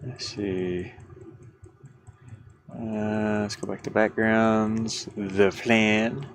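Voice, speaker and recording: Male casual streamer, low register with plenty of fry, meandering think-aloud delivery, light heater noise in a van. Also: little pitch movement, drawn-out words. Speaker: game developer livestreamer. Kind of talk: casual livestream chat while working. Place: inside a van. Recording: background noise from diesel heater fan. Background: heater hum and clicking.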